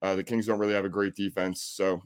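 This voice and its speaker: Deep-voiced hesitant betting analyst man. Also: flat delivery, fast. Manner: hesitant, many uhs and ums.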